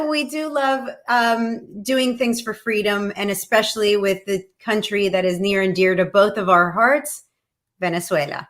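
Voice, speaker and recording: A woman's voice: mid-range voice, steady tone, measured pace, fairly bright tone. Bubbly, laughing woman host. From Cuba. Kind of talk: casual chat.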